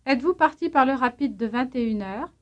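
High-pitched lady reading language drill questions, clear and measured, muffled old tape. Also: lively intonation. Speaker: language instructor. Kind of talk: scripted language drill prompts.

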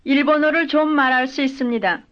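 A woman giving careful, measured full-sentence answers as the model speaker in a recorded language drill. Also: high voice. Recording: dull lo-fi old lesson tape.

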